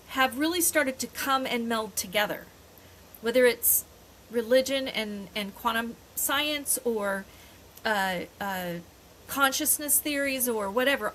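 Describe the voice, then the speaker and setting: An older woman co-hosting a podcast in casual chat, rambling and often pausing to search for words. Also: sits high, a bit bright, poor audio. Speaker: podcast co-host. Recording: faint background noise.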